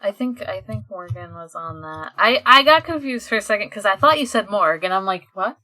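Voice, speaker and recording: Bright-voiced young woman bantering casually. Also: medium pitch, expressive, fairly quick. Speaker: podcast co-host.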